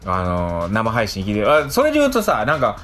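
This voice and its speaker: Animated man chatting as radio host. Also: on the low side, brisk. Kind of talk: casual radio chat.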